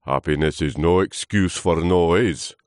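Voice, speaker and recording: A man's voice: deep voice, measured pace, darkish tone, dry recording, clean studio sound. A man voicing a grumpy, pompous old tree trunk in a children's story, groaning wearily and full of scorn. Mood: sleepy disapproval.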